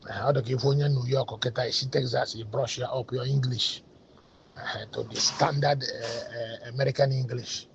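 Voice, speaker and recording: A man's voice: mid-range voice, fairly even intonation, normal speed, vocal fry, slight background noise. A man with a Nigerian Igbo accent, a native Igbo speaker, giving rambling casual commentary that switches between English and Igbo with many hesitant fillers. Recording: dull lo-fi recording.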